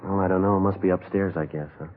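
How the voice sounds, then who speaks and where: Extremely low-voiced male police sergeant actor, quick, flat and businesslike, persistent, vintage radio drama with narrow phone-like sound. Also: lightly acted. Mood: deadpan. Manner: deadpan, terse, businesslike. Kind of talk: 1950s radio acting. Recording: muffled, tinny vintage radio recording.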